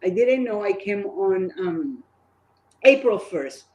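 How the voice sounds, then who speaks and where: A woman's voice: mid-range voice, animated, takes it slowly, darkish tone, reverberant. A woman in her fifties from Peru with a Peruvian Spanish accent, chatting as a guest in an upbeat, emphatic way. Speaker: guest, printing expert and co-founder. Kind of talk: casual interview chat.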